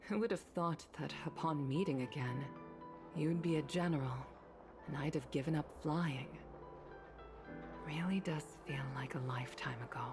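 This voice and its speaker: A woman's voice: low voice, normal speed. A woman playing a mature, courteous female former pilot in a video game, with polite, formal phrasing. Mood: nostalgic, respectful. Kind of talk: dramatic game dialogue. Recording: game audio under clear music.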